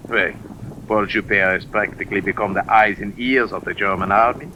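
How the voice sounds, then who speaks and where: A man's voice: lowish voice, fairly even intonation, brisk, natural voice. A man playing a scheming, deferential German army officer in an old-time radio drama, with a clipped military manner. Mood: eager, reporting. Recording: faintly noisy old radio recording.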